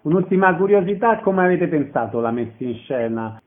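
A man's voice: on the high side, lively intonation, brisk, narrow, tinny audio. A man asking short questions as an interviewer. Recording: band-limited radio or phone sound.

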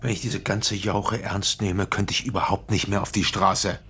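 An actor playing a combative middle-aged politician in a naturalistic radio crime drama, husky and gravelly, blunt, scornful and condescending. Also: male voice, on the low side, fairly even intonation, moderate pace, fairly bright tone, a little hiss or hum. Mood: angry, condescending. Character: combative, arrogant middle-aged male politician, scornful, cornered yet defiant.

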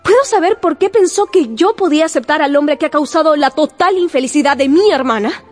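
A woman's voice: high-pitched, a little fast, faint music behind, natural voice. An actress playing a proud, indignant young woman in a period romance radio drama, speaking formally and with strong emotion. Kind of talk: Spanish-language radio drama acting.

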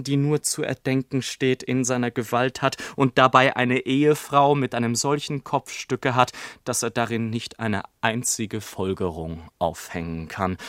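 An actor playing a storyteller in a literary radio play, theatrical and emphatic with ornate phrasing. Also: male voice, mid-range voice, no room sound, studio quality, lightly acted. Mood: exasperated. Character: son narrating his eccentric father's theories, mimicking him excitedly. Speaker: narrator.

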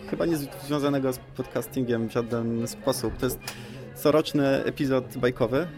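A man answers as a guest on a podcast in an easy chat style. He sounds wry and a little hesitant. Music plays clearly behind his voice. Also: medium pitch, fairly even intonation, moderate pace. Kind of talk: casual chat.

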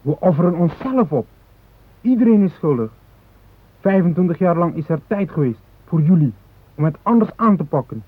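A man's voice: fairly high pitch, big pitch swings, normal speed, dry recording. An actor playing a determined, idealistic male hijacker in a radio play, speaking in solemn, insistent declarations. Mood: resolute, accusing. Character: determined Moluccan hijacker, idealistic, grave, unyielding. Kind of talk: radio drama dialogue. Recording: dry, thin phone-like band.

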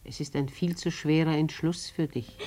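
An actress playing an older bereaved mother in a German radio play, speaking gently and affectionately. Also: low-pitched, fairly even intonation, normal speed, a little hiss or hum, close to an everyday voice. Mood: tender, persuading.

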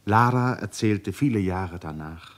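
Low-voiced male radio play narrator. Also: lively intonation, measured pace.

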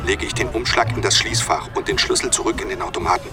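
A man's voice: fairly low pitch, fast talker, over a noisy crowd, natural voice. A man playing a thief who blackmails someone in a controlled, mocking, commanding way in a radio drama. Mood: cold, commanding. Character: cool, taunting male thief blackmailing by phone. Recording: street bustle, traffic and music behind.

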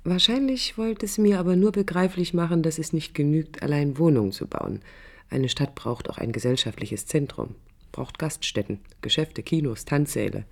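A woman's voice: low-pitched, moderate pace. An actress playing a proud, idealistic young woman architect in a radio drama, articulate, combative and ironic. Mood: wry, reflective. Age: young adult. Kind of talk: radio drama acting with interior monologue. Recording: 1980s radio play with background noise.

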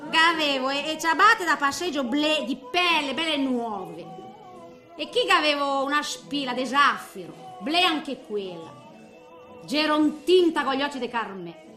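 A high, sharp-edged old woman of eighty, tells her story on stage in a regional Italian accent. She is sentimental and feisty, rambling in colloquial exclamations and muttered asides, and the room rings with echo. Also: lively intonation, measured pace, faint music behind, full character voice. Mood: nostalgic delight. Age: middle-aged. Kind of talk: theatrical comic monologue. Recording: echoey stage recording with faint noise.

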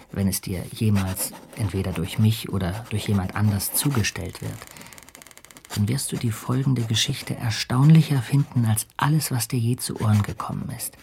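A man acting an earnest, compassionate ship captain and polar explorer in a radio play. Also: on the low side. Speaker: narrator and character in radio play. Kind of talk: radio drama acting with letter narration. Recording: clear noise, ambience behind.

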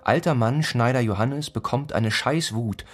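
An actor narrating a grimly comic village tale in a literary radio play, in a rhythmic, repetitive manner. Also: male voice, medium pitch, a little slow, soft background music, natural voice. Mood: grim, insistent. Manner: incantatory, repeats phrases rhythmically. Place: studio radio play production.

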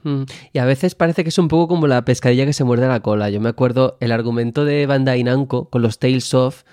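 Andalusian guy hosting a podcast, dry close sound, curious, quick questions. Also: medium pitch, smooth, no echo. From Andalusia, Spain. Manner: inquisitive, lively, asks questions. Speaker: podcast host, philologist. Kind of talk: casual podcast conversation.

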